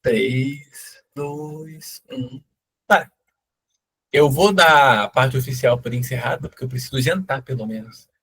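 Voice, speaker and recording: A man moderating a group video call in a relaxed, joking manner. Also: medium pitch, slow pace, husky. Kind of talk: casual moderating.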